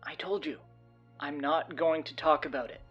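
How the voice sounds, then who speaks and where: A woman's voice: low voice, steady tone, moderate pace, slightly rough, lo-fi sound. A woman playing a sullen, haunted teenage survivor in an audio drama, defiant and withdrawn. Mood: sullen, guarded. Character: sullen, haunted teenage survivor, dodging questions about horrors. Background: music.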